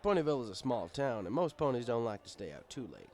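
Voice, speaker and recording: A man's voice: mid-range voice, sing-song, fast talker, a little mellow. Easygoing male storyteller over music. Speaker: audiobook reader. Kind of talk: first-person story narration as a bartender.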